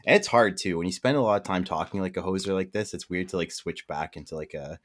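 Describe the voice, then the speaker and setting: A man's voice: low-pitched, fast talker. A man with a Canadian accent joining a podcast as a guest, tired, rambling and self-deprecating with laughs. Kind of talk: casual podcast chat.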